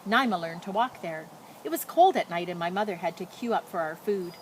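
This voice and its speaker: A woman's voice: fairly low pitch, expressive, a little fast, close to an everyday voice, rough recording. A woman voicing a sad young refugee schoolboy, telling things plainly and earnestly in a storybook. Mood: sombre recounting. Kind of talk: picture-book read-aloud. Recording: noticeable background noise.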